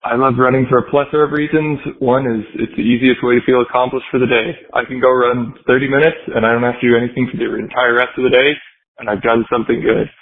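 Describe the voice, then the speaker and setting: A man calling in with a deadpan, monotone message over a narrow phone line. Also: mid-range voice, quick pace. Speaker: voicemail caller.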